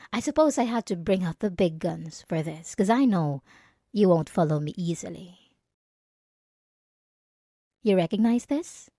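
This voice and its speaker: A jealous, possessive young female bully played by a woman in an intimate ASMR roleplay. Her pitch swings widely as she taunts and threatens, moving between softness and agitation. The recording is very dry and close. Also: dry recording.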